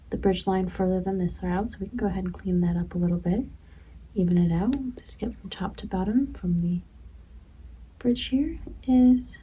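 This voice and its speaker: A woman with a very dark, mellow voice speaks in a soft ASMR style. She murmurs gently and without hurry, as if tending to a client, and often drops into a breathy whisper. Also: medium pitch, low-quality recording. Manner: gentle, unhurried, murmuring measurements step by step. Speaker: ASMR host playing a beauty technician. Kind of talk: ASMR roleplay, soft-spoken with whispered stretches.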